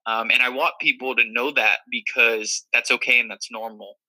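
Bright-voiced young man reflecting earnestly. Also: medium pitch, fairly even intonation, normal speed, rough recording. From Miami, Florida. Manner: earnest, reflective, many fillers. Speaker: guest. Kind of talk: casual interview talk.

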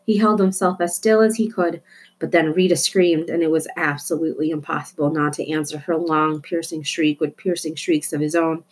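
A woman with a slightly low voice narrates a children's book. Her delivery is expressive storytelling, read from the page. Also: moderate pace, breathy edge, poor audio. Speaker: storybook reader.

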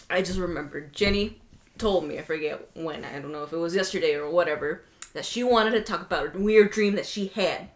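A woman co-hosting a casual podcast, talking in a blunt, excitable way and swearing freely. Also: on the low side, brisk. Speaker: podcast co-host. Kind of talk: casual chat.

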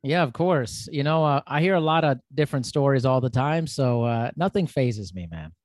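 A man's voice: mid-range voice, expressive, moderate pace, darkish tone, no echo. Give me a laid-back male podcast host with a dry, close sound. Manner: laid-back, friendly, says "man" a lot. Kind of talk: casual interview chat.

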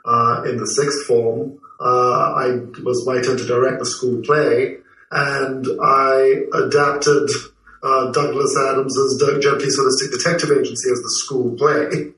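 A man's voice: medium pitch, level tone, measured pace, fairly bright tone. Reflective man, roomy far-off sound. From Malaysia and London. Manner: reflective, storytelling with many fillers. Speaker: guest, TV producer and writer. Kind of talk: relaxed interview answers. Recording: roomy, distant from the mic.

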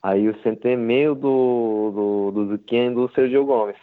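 Japanese-accented man, halting Portuguese, phone line. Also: mid-range voice, fairly even intonation, smooth. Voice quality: halting, simple Portuguese with many fillers. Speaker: interviewed footballer. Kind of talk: casual interview. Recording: tinny phone-line sound.

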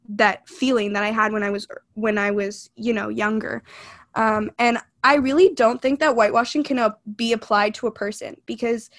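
A young woman from California co-hosting a podcast, speaking in an earnest, reflective way with frequent "like" and "you know". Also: a little high. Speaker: podcast co-host. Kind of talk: casual chat.

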